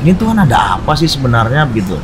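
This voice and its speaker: A middle-aged man from North Sumatra, a pastor chatting as a guest, joking and laughing easily, then slowing to explain his views thoughtfully. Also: mid-range voice, sing-song, music in the background. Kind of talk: casual chat. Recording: noisy background with passing vehicles.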